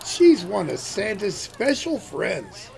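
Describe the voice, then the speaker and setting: A folksy, kindly Santa figure, played by a man in a holiday radio play. The voice sits high and gravelly, with big swings in pitch and an unhurried delivery. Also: very animated, a little mellow, exaggerated voice. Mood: kindly, jolly. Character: warm-hearted husband playing Santa for a young guest. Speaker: actor in an amateur audio play.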